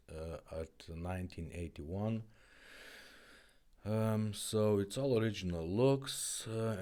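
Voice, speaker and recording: A man giving a casual product demonstration in halting, plain English. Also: low-pitched, mellow.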